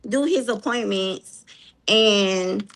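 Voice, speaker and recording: A woman's voice: medium pitch, extremely slow, a bit bright, low-quality recording. A woman with a Southern US accent chatting casually and telling personal stories, blunt and emphatic, repeating her points. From Mississippi, USA. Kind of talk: casual chat, personal storytelling.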